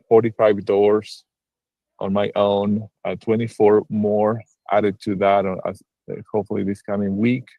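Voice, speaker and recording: Hesitant low-voiced man from Argentina. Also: creaky, no echo, muffled recording. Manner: hesitant, many restarts and fillers. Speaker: real estate broker and investor. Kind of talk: casual livestream call-in chat. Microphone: video call.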